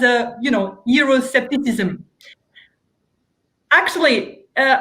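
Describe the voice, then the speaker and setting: A woman's voice: high voice, fairly bright tone, rough recording. A woman, speaking as a member of parliament on a panel, earnest and persuasive, often restarting her sentences. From Romania. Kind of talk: panel discussion argument.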